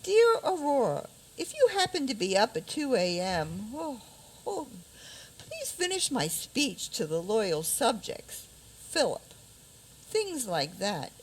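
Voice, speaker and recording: A woman's voice: fairly high pitch, wildly swooping pitch, takes it slowly, breathy edge, exaggerated voice, low-quality recording. A woman playing a weary, sleepless fairy-tale princess, plaintive and theatrical, in a radio theater comedy. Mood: plaintive, exhausted.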